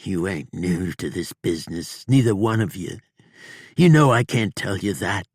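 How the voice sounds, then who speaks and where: A wounded outlaw in an audiobook, pitched far above his own deep voice and strained with panic. He yelps and cries out in pain, and the voice sits close and dry with no room sound. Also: expressive, moderate pace, breathy edge, dry recording, full character voice. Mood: pleading. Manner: yelping, howling and crying out in pain. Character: injured frightened male outlaw, panicky. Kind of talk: audiobook character voice.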